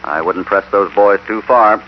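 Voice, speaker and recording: An American man acting a calm, shrewd, authoritative cowboy hero in a 1950s radio western. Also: lowish voice, measured pace, lightly acted. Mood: firm, cool. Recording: muffled, noisy old radio broadcast.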